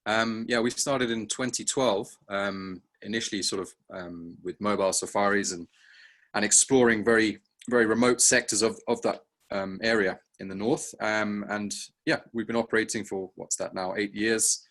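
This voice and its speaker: A man from England, speaking casually and haltingly as a safari operator on a panel, understated in manner. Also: fairly low pitch, steady tone, fairly bright tone. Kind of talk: casual unscripted panel talk.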